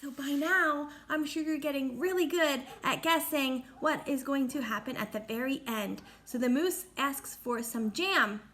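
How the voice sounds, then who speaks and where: A woman reading a picture book aloud like a teacher, speaking deliberately to young listeners and explaining as she goes. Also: high voice, a bit bright. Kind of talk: read-aloud storytelling with teaching asides.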